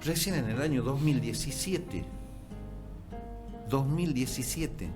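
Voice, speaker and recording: A middle-aged man with an Argentine Spanish accent, a writer and musician telling history in a relaxed chat, with hesitant restarts and animated bursts. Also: mid-range voice, takes it slowly. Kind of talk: conversational storytelling. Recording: music playing behind.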